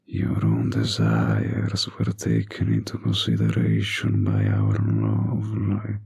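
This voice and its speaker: A man playing a smug, manipulative, flirtatious villain in an intimate audio drama, coaxing with deliberate pauses in a breathy, husky voice. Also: very deep voice, a little mellow, full character voice.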